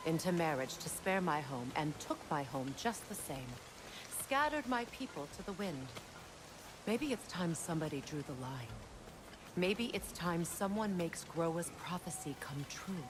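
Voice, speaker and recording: An actress playing a proud, wounded, mature warrior goddess in a video game, speaking in a measured, earnest and dignified way. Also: female voice, deep voice, noisy background. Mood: bitter. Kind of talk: video game cinematic dialogue. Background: music.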